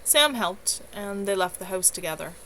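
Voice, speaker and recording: A woman's voice: medium pitch, lively intonation, moderate pace, fairly bright tone, poor audio. Woman reading a story, some background noise. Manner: earnest, amateur storyteller delivery. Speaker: author reading own novel aloud. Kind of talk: expressive audiobook-style narration. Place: at home, livestream. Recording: clear background noise, home livestream.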